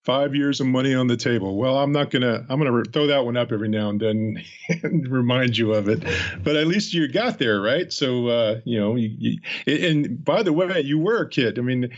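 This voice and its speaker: A man's voice: mid-range voice, brisk, lo-fi sound. A male interviewer who rambles through his questions in a chatty way and teases his guest. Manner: rambling, teasing. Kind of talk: casual conversation.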